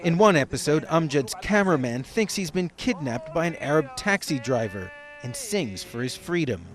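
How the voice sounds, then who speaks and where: A man narrating a television news report in a steady, measured broadcast voice. His pitch sits a little above most men's. The delivery is even and deliberate. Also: crowd noise. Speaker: news correspondent.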